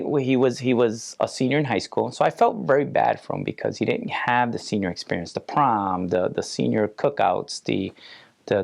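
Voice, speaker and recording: A man's voice: medium pitch, vocal fry. A middle-aged man telling a personal story in an interview, repeating words as he goes. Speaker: parent.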